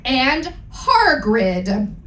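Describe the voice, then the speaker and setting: Casting: female stage reader, high voice, unhurried theatrical comic delivery with asides, roomy acoustic. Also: sing-song, sharp tone. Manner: theatrical, mock-serious with asides. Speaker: performer giving a dramatic reading. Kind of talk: comic live dramatic reading. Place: live event room with audience. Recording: roomy live stage sound.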